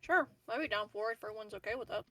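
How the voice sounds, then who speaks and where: A woman's voice: on the high side, racing, pushed and bright. A woman chatting casually as a player in a tabletop game group.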